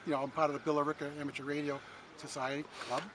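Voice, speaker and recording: A man answering casually as an event volunteer in an outdoor interview, easygoing and halting as he explains things. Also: fairly high pitch, little pitch movement, fairly bright tone. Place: outdoors at a radio swap meet. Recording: noisy outdoor vehicle ambience.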